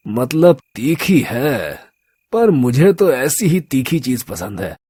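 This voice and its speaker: Man acting a leering drunk thug boss, husky voice. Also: mid-range voice, big pitch swings, takes it slowly, dark tone, dry recording, clean studio sound, full character voice. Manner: leering, drawling street slang. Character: drunk lecherous local thug boss, swaggering and menacing. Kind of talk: Hindi audio story character acting.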